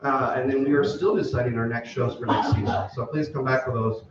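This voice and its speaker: A man's voice: medium pitch, fairly even intonation, fairly quick, music in the background. A middle-aged man giving a casual, off-the-cuff welcome speech as a community theater director. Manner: informal, off the cuff. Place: theater stage before an audience. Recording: very echoey hall, distant mic, muffled.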